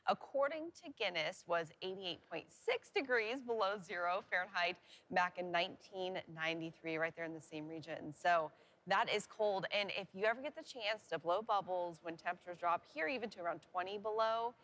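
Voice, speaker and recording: A woman's voice: fairly low pitch, normal speed, fairly bright tone. A woman presenting the weather on a TV newscast in a conversational style. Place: TV news studio.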